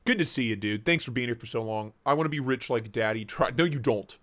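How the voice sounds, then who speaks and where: A man's voice: mid-range voice, fast talker, fairly bright tone, dry recording. A man hosting a casual live stream, joking around and greeting viewers by name, his voice starting to go. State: losing his voice.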